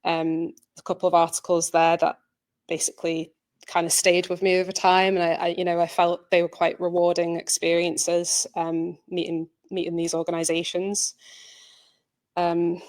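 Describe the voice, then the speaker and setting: A woman's voice: on the low side, monotone, moderate pace, darkish tone. A woman giving an informal presentation as writer, earnest and hesitant in her delivery.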